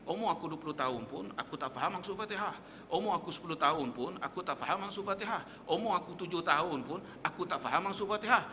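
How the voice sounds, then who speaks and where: A man's voice: high-pitched, sharp tone, slight background noise. Male religious teacher, lecturing in a small mosque hall with a long reverb; a tense, pushing delivery. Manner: emphatic, rhetorical questions, quotes Arabic texts.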